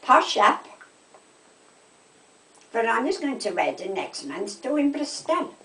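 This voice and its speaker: An older woman with a South Wales Valleys accent, telling gossipy stories in casual, animated chat. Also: fairly high pitch, unhurried. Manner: animated anecdotes, quoting conversations, checking with "right?". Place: indoors at home. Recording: echoey room, distant microphone.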